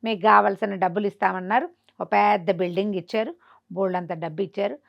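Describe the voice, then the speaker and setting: A woman's voice: mid-range voice, fairly even intonation, quick pace, vocal fry, highs cut off. A woman with a Telugu accent telling a folk tale conversationally, expressively acting out the dialogue of its characters. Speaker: storyteller.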